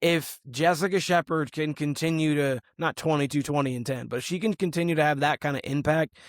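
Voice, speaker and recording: A hoarse man hosting a solo basketball show, talking through games and stats in a casual, rambling way. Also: on the high side, brisk, no echo, clean studio sound. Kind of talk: solo sports analysis podcast.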